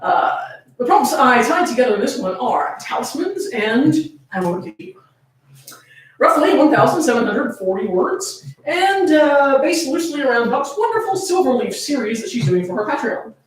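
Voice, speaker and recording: Echoey, distant woman with a higher-pitched voice. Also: big pitch swings, normal speed. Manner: playful, sweary, teasing. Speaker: host reading fan fiction aloud to chat. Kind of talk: casual banter and dramatic fanfic reading. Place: indoors, echoey room, camera on tripod. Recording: very echoey room, distant from mic.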